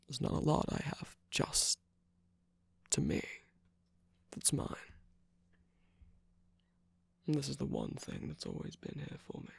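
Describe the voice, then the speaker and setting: A performer voicing a flirtatious, teasing male villain's minion in a romantic ASMR roleplay, husky and breathy with a coy, halting delivery that often drops into whispers. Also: mid-range voice, no echo, full character voice. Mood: soft, seductive.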